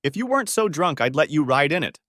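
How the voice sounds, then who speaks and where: Man voicing a teasing woman, lively pitch. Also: fast, no echo, clean studio sound. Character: teasing, frank female co-worker.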